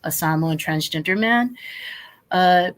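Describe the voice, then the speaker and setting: A man's voice: high-pitched, a little slow, a bit bright. A man speaking earnestly as a panelist, hesitating often with "uh". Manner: earnest, hesitant, frequent "uh" fillers. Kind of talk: panel discussion.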